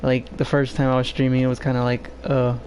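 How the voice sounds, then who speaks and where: A shy male streamer with a low voice, talking in an even, flat tone. He hesitates and fills gaps with "you know" and "like". A home desk microphone picks him up over a faint hiss. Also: fairly quick, poor audio. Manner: soft-spoken, shy and hesitant, many fillers. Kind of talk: casual gameplay stream chat.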